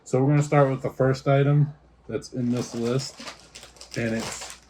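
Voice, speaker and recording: Easygoing guy doing a snack taste test, warm mellow voice, faint hiss behind. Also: mid-range voice, fairly even intonation, unhurried. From United States. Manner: easygoing, stumbles over foreign names. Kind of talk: casual taste-test chat, reading product notes aloud.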